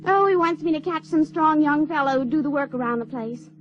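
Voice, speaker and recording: Radio drama role, for an actress: stubborn backwoods girl of sixteen, Ozarks drawl, high pitch, artless and plain-spoken; muffled recording. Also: a little fast, faint music behind, lightly acted. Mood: plaintive. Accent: Ozarks rural American. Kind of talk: audio drama acting. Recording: dull lo-fi radio recording, faint noise.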